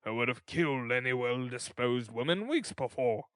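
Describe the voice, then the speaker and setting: A stern, self-important beadle from an old storybook, performed by a man. He is loud and declamatory, leaning heavily on his words with pompous emphasis. The recording is dry and close. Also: mid-range voice, animated, normal speed, dry recording, lightly acted. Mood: pompous, grave.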